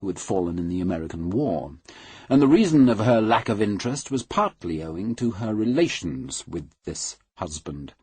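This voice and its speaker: A man reading a classic novel aloud in a measured, unhurried and formal way. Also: sits low, big pitch swings, a little mellow, husky, no echo. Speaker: audiobook reader. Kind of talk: scripted literary narration.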